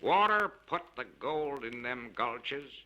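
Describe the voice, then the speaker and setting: A man's voice: mid-range voice, animated, slow, sharp tone, muffled recording, a little hiss or hum. A man voicing a blunt, foreboding gold-camp miner with a folksy, emphatic way of warning. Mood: ominous.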